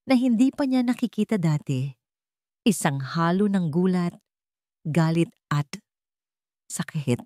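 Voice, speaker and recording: Low-pitched woman narrating dramatic fiction, dry sound. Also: a little mellow, no echo. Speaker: storyteller, narrator. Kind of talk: dramatic scripted audio story narration.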